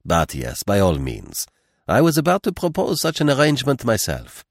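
A fussy, philosophical gentleman detective acted by a male narrator with a French accent. He is precise and dignified, with theatrical swoops in pitch. The audiobook sound is close and dry. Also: wildly swooping pitch, moderate pace, no echo. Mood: twinkling, agreeable.

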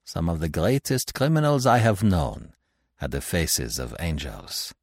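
A man's voice: low voice, wildly swooping pitch, unhurried, creaky, no room sound, heavily acted. Older Belgian detective played by a male reader, Belgian French accent, ironic and avuncular, very swooping intonation, close dry storybook sound. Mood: earnest warning. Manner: gentle, ironic, emphatic, avuncular. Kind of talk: audiobook character voice.

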